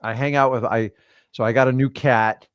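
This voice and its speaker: A middle-aged man chatting casually as a host, rambling and animated, with lots of fillers and restarts. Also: mid-range voice, moderate pace, clean tone, dry recording, muffled recording. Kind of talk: casual chat. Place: studio livestream.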